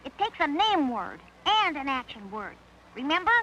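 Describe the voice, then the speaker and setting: A shrill, bossy parade director. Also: female voice, very animated, moderate pace, sharp tone, slight background noise, exaggerated voice, rough recording. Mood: exasperated. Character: bossy, exacting parade director drilling marching groups.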